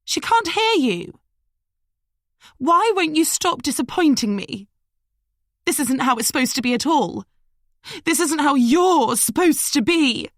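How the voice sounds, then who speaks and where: A woman voicing an obsessive, unhinged rich woman who whines and scolds in a high, shrill voice. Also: very animated, a little slow, long pauses, no echo, studio quality, full character voice. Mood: scolding. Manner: shrill, whining, high-strung.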